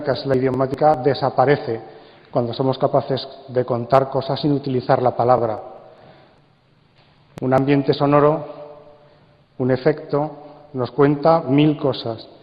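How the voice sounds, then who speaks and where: A man with an accent from Spain gives a live, interactive talk to an audience, with measured, playfully theatrical phrasing. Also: medium pitch. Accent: Spanish from Spain. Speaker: speaker and coordinator at a radio drama congress. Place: large indoor congress hall. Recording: dull, echoey hall recording with faint noise.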